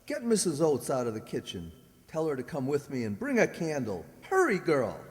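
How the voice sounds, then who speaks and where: Radio drama professor played by a man: brusque master of the house, slightly high voice, extremely animated, ordering people about. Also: normal speed, in a large hall. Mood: impatient. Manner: commanding, impatient.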